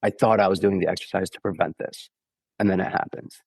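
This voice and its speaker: A man's voice: low-pitched, flat delivery, fairly quick, a little mellow, husky, no room sound. A man recovering from the flu, sounding congested, explaining running injuries patiently on a podcast and often checking in with "okay?". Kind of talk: casual explanatory podcast talk.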